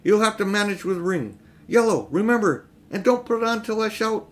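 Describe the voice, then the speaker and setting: A man's voice: sits high, lively intonation, normal speed, voice pushed up. A man voicing a plucky young schoolboy from a storybook, urgent and exclaiming.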